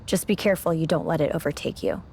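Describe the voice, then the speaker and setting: A woman playing a seasoned wrestling champion in a video game, speaking gravely and cautioning like a keeper of dangerous secrets. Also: deep voice, quick pace, a little mellow, slight background noise. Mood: solemn. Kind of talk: cinematic game dialogue.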